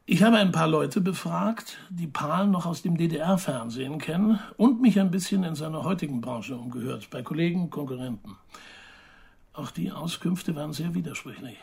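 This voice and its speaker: An actor playing a measured, sceptical journalist in a radio play, speaking reflectively with pauses. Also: male voice, fairly high pitch, vocal fry, a little mellow, breathy edge, heavily acted. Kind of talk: radio play narration and dialogue.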